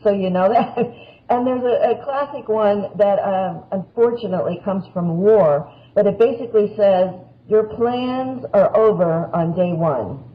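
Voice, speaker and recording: Male panel guest, notably high-pitched voice, conversational explainer; muffled audio. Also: unhurried. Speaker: expert guest on a podcast. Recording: dull, band-limited audio.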